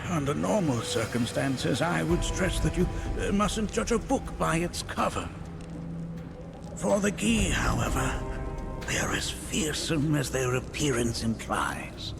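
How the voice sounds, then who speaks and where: An eccentric old village elder for a game, high and swooping in pitch. He speaks in formal, measured lectures, playful yet solemn. Loud music plays over his creaky voice. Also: very animated, full character voice. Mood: solemn, instructive. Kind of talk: video game voice acting.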